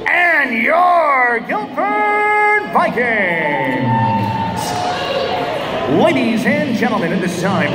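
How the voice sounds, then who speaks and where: High-pitched male gym announcer, echoing. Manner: booming, theatrical, drawn-out names. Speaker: public address announcer. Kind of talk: high school sports pregame introductions. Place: large indoor school gymnasium. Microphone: handheld PA microphone, picked up by a camera in front. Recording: echoey gym PA, distant, loud crowd and music.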